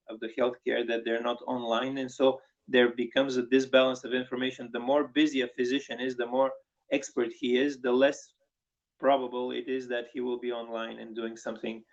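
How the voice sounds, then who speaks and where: A man from Bulgaria speaks as a guest on an online panel, his voice gravelly with vocal fry. He explains in a measured, methodical way, often restarting his sentences. The sound is dull and lo-fi, like an internet call. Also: mid-range voice.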